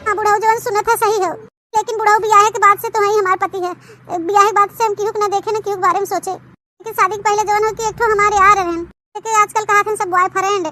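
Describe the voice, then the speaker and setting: A woman's voice: very high-pitched, level tone, fast talker, sharp tone. A woman voicing an anxious, plaintive village mother-in-law in a comedy cartoon, speaking wordily with an Awadhi accent. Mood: pleading.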